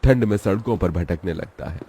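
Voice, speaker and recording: A man narrates a cartoon story in a steady, dramatic manner. His voice sits very low. Each line is delivered like scripted storytelling. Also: normal speed, a little hiss or hum.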